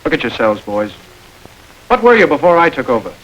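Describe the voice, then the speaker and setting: An actor playing a cool, self-assured gang boss in an old crime film, speaking with sardonic calm. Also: male voice, mid-range voice, brisk, highs cut off. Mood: commanding. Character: smooth confident gang boss, middle-aged man. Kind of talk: 1930s film acting. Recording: old film soundtrack with faint hiss.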